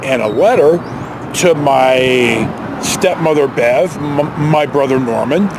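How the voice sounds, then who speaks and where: Middle-aged American male, high register, halting and reflective casual storyteller; noisy recording with car and street sound. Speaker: storyteller vlogger.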